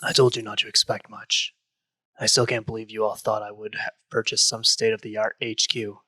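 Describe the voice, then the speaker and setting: A man playing a smug, wealthy leader of secret investigators in an audio drama, with a raspy voice. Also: lowish voice, flat delivery, moderate pace, bright, forceful tone, dry recording, poor audio. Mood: smug, dismissive.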